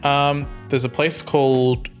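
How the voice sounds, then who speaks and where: A man's voice: mid-range voice, flat delivery, takes it slowly. A man with an Australian accent chatting casually as a player in a tabletop game. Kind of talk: casual chat. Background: music.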